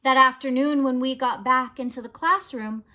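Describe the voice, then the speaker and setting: A woman narrating a children's picture book as a read-aloud, in an expressive, measured storytelling manner. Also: sits high.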